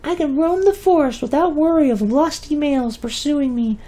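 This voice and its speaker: A narrator voicing a tiny, sweet female fairy who giggles and speaks in a high sing-song voice. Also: moderate pace, mellow, natural voice. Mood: cheerful.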